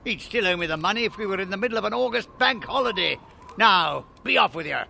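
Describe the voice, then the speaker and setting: Creaky, high-pitched old English miser. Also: male voice, expressive, quick pace, pushed and bright, a little hiss or hum, full character voice. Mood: stern, demanding. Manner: curt, sarcastic, sneering. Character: cold, miserly old English businessman, grumpy and scornful. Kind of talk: family radio drama acting.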